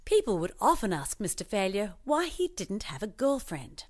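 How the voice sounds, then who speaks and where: A woman reading a scripted children's storybook as parody, expressive and mock-earnest in her storytelling. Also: medium pitch, moderate pace. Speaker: storybook narrator.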